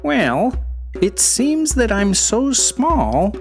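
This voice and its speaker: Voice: medium pitch, sing-song, drawn-out words, soft background music, pitched above the performer's own voice, exaggerated voice. A storyteller voicing a small, wronged fly who speaks with courtly, hesitant politeness in a folktale. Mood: meek, reluctant.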